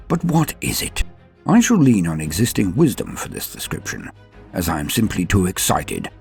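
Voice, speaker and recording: Man, lore show host, theatrical formal narration, very animated intonation, gravelly fry. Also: deep voice. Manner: theatrical, formal and florid wording.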